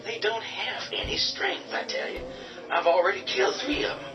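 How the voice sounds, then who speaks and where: A man's voice: sits high, animated, normal speed. An actor playing a hard, commanding man who defends a boarded-up farmhouse and turns to menacing whispers. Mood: firm. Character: tough defiant man holding a farmhouse. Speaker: Ben. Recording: dull distant audio over music.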